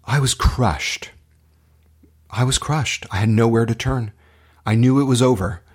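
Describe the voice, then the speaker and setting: A man's voice: mid-range voice, unhurried, breathy edge. A man speaking as an acting teacher, telling personal stories to motivate students in an earnest, impassioned way with dramatic, emphatic phrasing.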